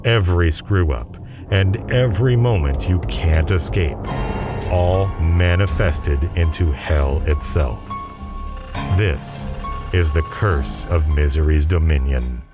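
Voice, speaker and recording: A man's voice: very deep voice, slow, over loud music. A man delivering dramatic scripted narration for an audio drama.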